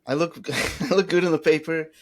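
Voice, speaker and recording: Excitable Brazilian-accented man hosting. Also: on the high side, a little fast. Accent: Brazilian Portuguese. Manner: excitable, rambling questions with many restarts. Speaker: podcast host. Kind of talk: casual podcast interview.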